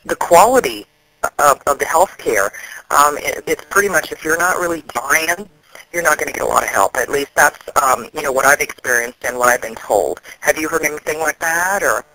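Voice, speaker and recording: A man, an activist, explaining things in a serious phone conversation, often halting and restarting. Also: a little high, expressive, sharp tone, no echo, poor audio.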